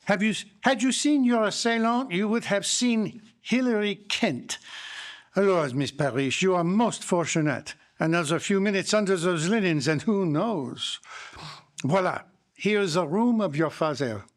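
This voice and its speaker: Fussy detective, high voice, cryptic and very polite, old radio mystery style. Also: male voice, animated, a little slow. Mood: courtly, grave. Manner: formal, courteous, theatrical phrasing. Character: fussy, clever foreign male detective, courtly and cryptic. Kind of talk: live radio-style mystery drama acting.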